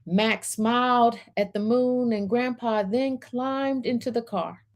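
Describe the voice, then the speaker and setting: A woman hosting a storytime as a reverend, speaking in measured short phrases as she welcomes, guides and reads aloud. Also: on the high side. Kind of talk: gentle guided centering and picture book read-aloud.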